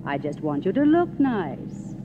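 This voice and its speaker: An actress playing a vain, haughty, middle-aged silent-film star in a theatrical 1950s radio drama style. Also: female voice, on the low side, big pitch swings, unhurried, noisy background. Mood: imperious, needling. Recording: muffled old radio recording, reverberant stage.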